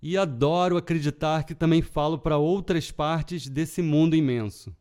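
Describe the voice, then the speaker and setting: Male podcast host, Carioca accent with a European Portuguese lilt, fairly high voice, deliberate scripted reading. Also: a little mellow, smooth. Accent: Rio de Janeiro Portuguese with European Portuguese touches. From Brazil. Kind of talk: scripted literary podcast narration.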